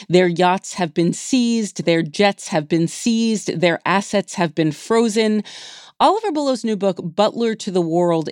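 A woman hosting a news podcast, reading a scripted introduction in a crisp, measured, conversational way. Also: mid-range voice, a little mellow, no room sound. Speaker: podcast host. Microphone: studio microphone.

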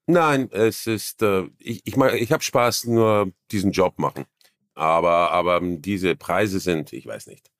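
Deep-voiced man, halting and searching for words. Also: no room sound. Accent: Viennese. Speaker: actor guest. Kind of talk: casual interview chat.

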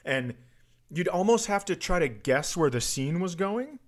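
A man's voice: on the high side, big pitch swings, measured pace. Man swapping rambling comic anecdotes. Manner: rambling, self-interrupting anecdote telling. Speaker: comedy podcast host. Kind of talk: casual comic banter.

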